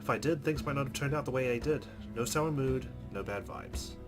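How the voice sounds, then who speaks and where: A man's voice: medium pitch, brisk. Man reading aloud over game music. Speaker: let's-play host. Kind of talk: reading visual novel narration aloud with casual asides. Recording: music playing behind voice. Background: game music with guitar.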